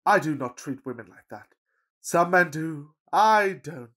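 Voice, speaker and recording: A man's voice: on the high side, lively intonation, a little slow, bright, forceful tone, full character voice. Pompous young gent , male actor, Victorian farce for radio. Florid, earnest declaiming, easily smitten. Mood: impassioned. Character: pompous, lovestruck, miserly young Victorian gentleman. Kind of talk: farcical period radio play acting.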